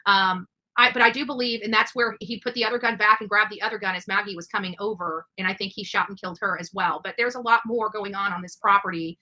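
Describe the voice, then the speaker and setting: A woman hosting a true-crime livestream, commenting over footage in a halting, emphatic way with repeated words. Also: mid-range voice, steady tone, pushed and bright, poor audio. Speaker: livestream host.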